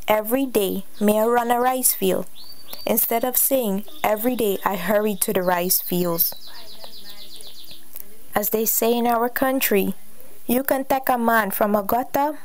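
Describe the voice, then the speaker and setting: Young woman, student, reads her personal essay, earnest and measured. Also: a little high. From Guyana. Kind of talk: read personal essay narration.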